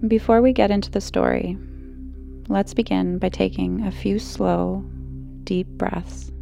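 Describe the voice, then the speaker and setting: A woman hosting a sleep podcast, reading calm scripted narration in a slow, measured, soothing manner. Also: mid-range voice, vocal fry. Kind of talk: calm scripted bedtime narration, guided relaxation. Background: music.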